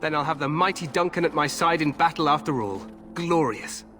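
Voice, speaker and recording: An actor playing a confident, glory-hungry young king in a fantasy game, regal, grand and expressive. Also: fairly high pitch, a little fast, faint music behind. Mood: jovial, welcoming. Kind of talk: fantasy video game acting.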